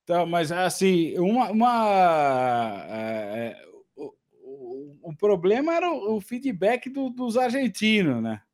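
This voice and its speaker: A man's voice: high voice, sing-song, takes it slowly, a little mellow. A man with a Brazilian accent co-hosting a casual chat and explaining things to the audience. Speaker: co-host, former TV show worker.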